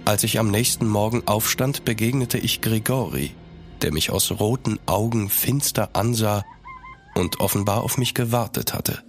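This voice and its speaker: A man acting an ardent, courteous gentleman traveller in a German gothic audio drama, earnest and measured. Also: on the low side, soft background music, lightly acted. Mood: grave, narrating.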